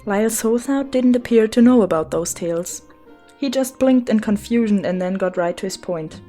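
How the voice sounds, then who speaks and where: A woman's voice: mid-range voice, moderate pace, mellow. A woman reading a children's story as its narrator, in a scripted storybook style. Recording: music behind the voice.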